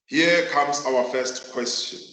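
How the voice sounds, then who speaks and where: Slow, bright-voiced South African male teacher. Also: a little high. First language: Northern Sotho. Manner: emphatic, deliberate, repeats phrases for effect. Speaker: accounting teacher. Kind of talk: virtual class lecture. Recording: echoey room.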